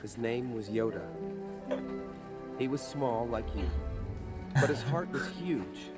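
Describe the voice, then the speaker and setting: An actor playing a serene, wise mystic teacher, speaking gently and solemnly to a young pupil. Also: male voice, mid-range voice, lively intonation, slow. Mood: tender, solemn. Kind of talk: screen drama acting. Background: loud music.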